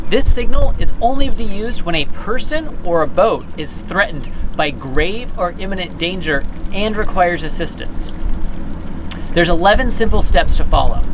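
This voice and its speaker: A man's voice: high voice, background noise. A man in his thirties explaining procedures methodically, step by step, as an instructor recorded outdoors by the water. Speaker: boat club operations director giving instruction. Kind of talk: instructional demonstration. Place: outdoors by the water, at a dock or on a boat.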